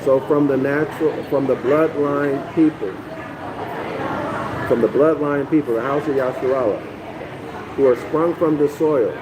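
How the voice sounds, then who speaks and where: A man's voice: medium pitch, measured pace, long pauses. Man lecturing over a noisy background. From North America. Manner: keeps checking in with listeners, "okay?" and "y'all"; repeats and restarts phrases while explaining. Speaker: lecturer reading a text to a live audience. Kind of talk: live-streamed lecture, reading aloud and commenting.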